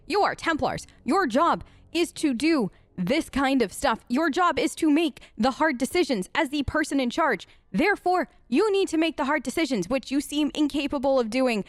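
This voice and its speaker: A woman with a fairly high voice talks casually as she role-plays through a video game. She is outspoken and informal, recorded dry without room sound. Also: no echo. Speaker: let's play host. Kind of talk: casual improvised role-play commentary on a game.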